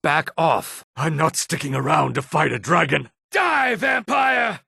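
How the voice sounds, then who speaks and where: A man playing a gruff, wary, streetwise lizard-man in a video game, with a raspy, gravelly voice. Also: a little high, expressive, measured pace, pushed and bright, no echo, clean studio sound, heavily acted. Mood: shouting, fierce. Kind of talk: video game character dialogue lines.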